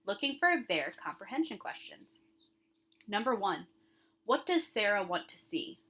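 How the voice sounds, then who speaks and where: A woman's voice: medium pitch, sing-song, moderate pace, sharp tone, husky. A woman speaking as an elementary school teacher, reading comprehension questions aloud and addressing children directly with instructive emphasis on key words.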